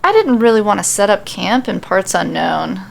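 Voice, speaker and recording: Practical winged forest sprite leader, read by a woman, mid-pitched with vocal fry, for a storybook-style quest tale. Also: big pitch swings, normal speed, slight background noise. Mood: musing. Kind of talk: fan fiction audio story dialogue.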